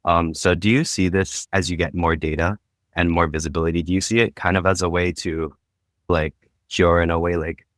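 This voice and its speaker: A man's voice: sits low, fairly even intonation, moderate pace, a bit bright, no room sound, clean studio sound. A man from the audience asking a question hesitantly, with many fillers.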